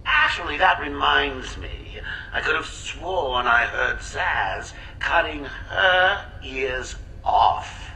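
A man's voice: fairly high pitch, expressive, slow, pushed and bright, a little hiss or hum, heavily acted. An actor playing a cackling, taunting male clown villain in a video game, mocking and theatrical. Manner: mocking, sing-song, theatrical laughter. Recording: echoey game audio over music.

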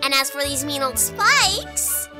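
A woman's voice: sits high, very animated, slow pace, over loud music, exaggerated voice. A woman voicing a cutesy, determined little girl hedgehog with a perky, insistent manner in a cartoon dub. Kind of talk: animated comic dub.

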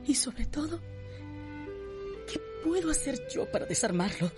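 Radio novela: young lady in torment, halting and unhurried, highly expressive, music behind. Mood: anguished. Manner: melodramatic, halting. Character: distraught young unmarried mother of a wealthy household. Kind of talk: radio soap opera acting.

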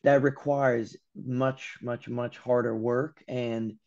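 Young American man, dark lo-fi call. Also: medium pitch, takes it slowly, creaky. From Los Angeles, USA. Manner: animated storyteller, acts out quotes, swears casually. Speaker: guest. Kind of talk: casual interview chat. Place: room at home by a window. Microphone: video call. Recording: dull lo-fi call audio.

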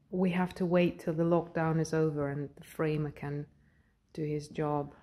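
A portrait artist with a British accent walks through her home studio, naming her tools as she films on a phone. Her voice sits a little low and she speaks slowly and calmly. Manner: calm, explanatory, pointing things out. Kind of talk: guided studio tour narration. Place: home art studio, indoors.

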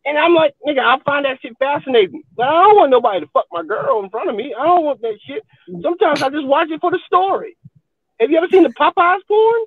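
Tinny, high-pitched, animated man joking around. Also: fast, no room sound. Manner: animated, brash, heavy slang and swearing. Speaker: talk show guest, comedian. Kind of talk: comedic, explicit casual chat. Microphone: video call. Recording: tinny, phone-like call audio.

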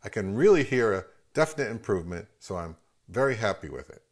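Middle aged guy, lowish voice, reading out a scripted review for his channel. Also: sing-song, a little fast. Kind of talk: scripted narration, product commentary.

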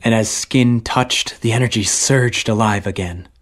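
A man's voice: lowish voice, unhurried, heavy background noise, natural voice. A man playing an ancient, grim spirit, narrating a horror story in a solemn, literary, brooding way. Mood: grave, intense.

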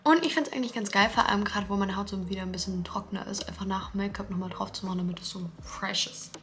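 A woman's voice: mid-range voice, fast talker, heavy background noise. A young woman in her twenties chatting casually to the camera in her apartment, eager and full of slang. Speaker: lifestyle vlogger. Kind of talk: casual vlog talk to camera. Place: bright modern apartment indoors. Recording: roomy apartment sound with music behind.

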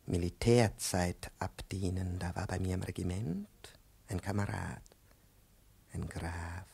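An actor playing a pompous, excitable, domineering professor lecturing a pupil in a 1950s radio play, pedantic and declamatory. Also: male voice, low voice, exaggerated voice. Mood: hushed, reminiscing. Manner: pedantic, declamatory, swinging from hushed to shouting.

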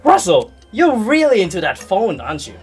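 A husky, teasing schoolgirl. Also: medium pitch, wildly swooping pitch, moderate pace, a little mellow, heavily acted. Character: teasing female high school student. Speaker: student. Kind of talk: scripted comedy skit acting. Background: music bed.

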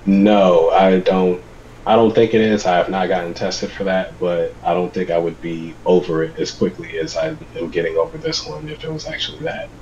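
Deep-voiced man, slightly congested. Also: normal speed, a little mellow, lo-fi sound, a little hiss or hum. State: congested from a cold. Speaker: guest. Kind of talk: casual video call chat. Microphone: video call webcam.

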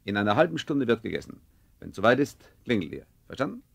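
Radio-play dad, conductor: very low, husky, fond, mock-stern. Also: male voice, big pitch swings, quick pace, no room sound, exaggerated voice. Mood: playfully stern. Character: father, Viennese conductor, affectionate, teasingly commanding. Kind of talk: children's radio play acting.

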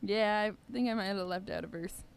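A woman's voice: mid-range voice, normal speed, creaky, slight background noise. A young adult woman giving brief casual asides as a musician co-host, speaking at a stage microphone. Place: indoor curtained small stage studio. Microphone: stage microphone on stand.

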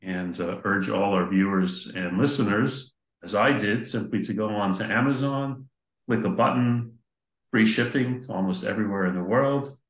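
A man's voice: lowish voice, moderate pace, echoey room, poor audio. An older man hosting an interview over a video call, giving a formal introduction with some fillers. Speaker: interview host. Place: office indoors. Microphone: computer mic on video call.

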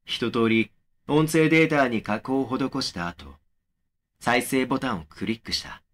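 A man playing a young man who narrates his eerie experience in a horror audio drama, measured and serious. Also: mid-range voice, a bit bright, lightly acted. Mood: serious, suspenseful. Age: young adult. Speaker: first-person narrator of a horror story.

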